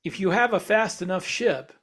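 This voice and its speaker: A man's voice: high voice, moderate pace, fairly bright tone. A man talking casually as a podcast host, explaining ideas and thinking aloud as he goes. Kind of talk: casual discussion.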